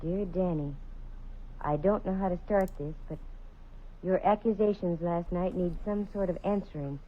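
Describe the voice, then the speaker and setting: An actress playing a brisk, wry female private eye in 1940s radio style. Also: on the low side, lightly acted. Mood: searching. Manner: brisk, wry, hard-boiled. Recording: muffled old radio broadcast with hiss.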